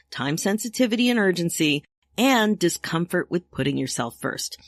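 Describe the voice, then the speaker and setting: A woman's voice: low-pitched, creaky, no echo, clean studio sound. A woman in her sixties hosting a solo podcast as a coach, chatty and upbeat with frequent laughs. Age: 60s. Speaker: podcast host and midlife coach.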